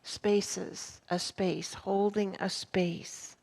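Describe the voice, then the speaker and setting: A woman minister guiding her congregation through a sharing exercise, speaking in a gentle, encouraging way. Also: mid-range voice, level tone, very slow, vocal fry. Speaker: minister leading a church service. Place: church sanctuary.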